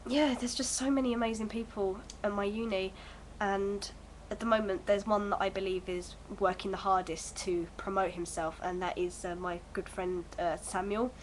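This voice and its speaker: A woman's voice: medium pitch, steady tone, moderate pace, hardly any pauses, slight background noise, low-quality recording. A young woman in her early twenties, bunged up with a cold, chatting at home to her vlog camera in a rambling, hesitant way full of fillers. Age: early 20s. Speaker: vlogger. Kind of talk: casual chat to camera.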